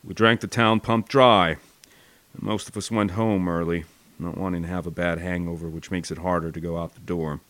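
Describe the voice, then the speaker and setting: An American man with a fairly low voice narrates a wartime memoir. He reads from a script like a storyteller, expressive and dramatic. The recording is dry, with very little room sound. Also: normal speed, no room sound. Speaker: audiobook narrator. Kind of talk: scripted first-person war memoir narration.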